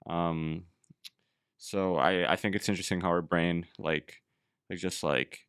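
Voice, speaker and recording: A man's voice: low-pitched, fairly even intonation, measured pace, a bit bright, smooth, no echo, studio quality. A man hosting a casual conversation, talking reflectively and rambling with lots of "like". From Canada. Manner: reflective, rambling, heavy use of "like".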